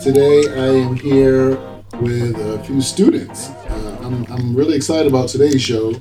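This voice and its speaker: A man's voice: medium pitch, a little slow, music in the background. A middle-aged man hosting a conversational interview, encouraging and echoing back what his guests say. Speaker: talk show host, school superintendent.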